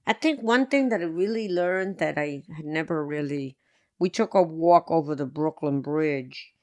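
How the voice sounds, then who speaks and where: A woman from New York with a deep voice, a guest in a podcast interview who tells stories in a matter-of-fact way. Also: moderate pace, darkish tone, no echo. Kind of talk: casual interview.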